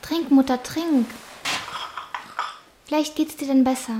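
High-voiced, guarded teenage girl. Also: fairly even intonation, takes it slowly, background noise. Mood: soothing. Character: thirteen-year-old girl, soothing and guarded, caring for mother. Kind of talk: German radio play acting.

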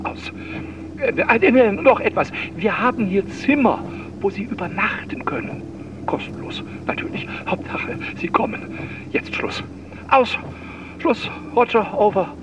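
A man's voice: sits high, expressive, measured pace. An actor playing a timid, flustered male castle caretaker, stammering and repeating himself on an answering machine recording. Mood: anxious, halting. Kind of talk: children's radio play acting. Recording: noisy, distant answering machine tape.

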